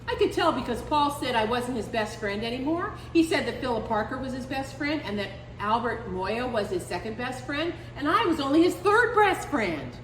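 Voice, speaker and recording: A woman reading a picture book aloud to children as a storytime librarian, expressively, with drawn-out emphasis on key words. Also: on the high side, animated, a bit bright. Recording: echoey reverberant room with faint noise.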